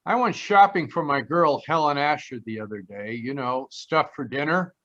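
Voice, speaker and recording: An actor playing a wry, slangy American private detective who narrates an old-time radio mystery with grumbling comic patter. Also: male voice, mid-range voice, moderate pace, a bit bright, natural voice. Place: performed from home on a video call. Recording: slightly dull video-call sound.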